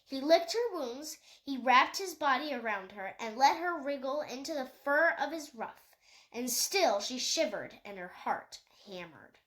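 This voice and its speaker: A woman's voice: sits high, animated, unhurried, a bit bright, rough recording. A woman reading a children's animal novel aloud as scripted storybook narration. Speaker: audiobook reader.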